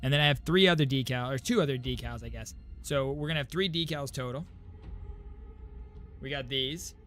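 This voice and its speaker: A man's voice: mid-range voice, normal speed, a bit bright. Man streaming casually, game music behind. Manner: informal, addresses viewers directly. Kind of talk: casual livestream chat.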